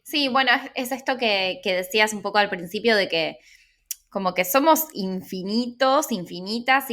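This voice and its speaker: A woman's voice: mid-range voice, sharp tone. A woman with an Argentine accent hosting a casual interview, repeating words as she thinks. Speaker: interviewer, host.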